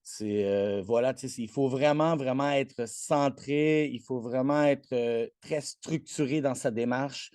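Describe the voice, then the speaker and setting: A self-assured trainer in his forties talks with a Québécois French accent, Spanish being his first language. His voice is mellow and warm, caught dry and close. He boasts freely and trips over his own restarts. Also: medium pitch, unhurried, few pauses, clear, resonant tone, no room sound. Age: 40s. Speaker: guest, athletic trainer. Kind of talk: casual interview chat.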